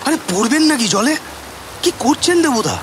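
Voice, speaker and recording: An actor playing an anxious, puzzled man who speaks haltingly with stammers in an audio drama. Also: very high-pitched, big pitch swings. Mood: alarmed. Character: anxious, puzzled colleague named Sumon, startled and halting. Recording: noisy rain and water ambience. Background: rain or rushing water.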